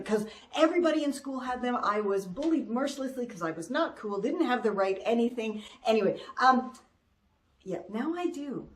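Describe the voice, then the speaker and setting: A middle-aged woman with a stuffy nose from a cold, chatting her way through a sewing tutorial in a bubbly, excitable manner and laughing often. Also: high-pitched. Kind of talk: chatty step-by-step craft tutorial.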